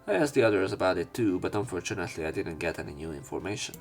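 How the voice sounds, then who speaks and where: A man's voice: low-pitched, animated, rapid-fire. A man reading a visual novel aloud as a let's player, mixing narration with casual, crude commentary. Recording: background game music. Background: game music.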